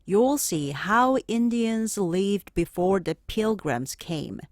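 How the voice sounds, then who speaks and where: Storybook role: female reader playing a busy, stern male teacher herding a class, lively intonation. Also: mid-range voice, slow, dry recording, clean studio sound. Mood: instructive. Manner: firm, instructive, announcing to a class. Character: stern, busy male schoolteacher on a field trip. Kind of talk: storybook character voice.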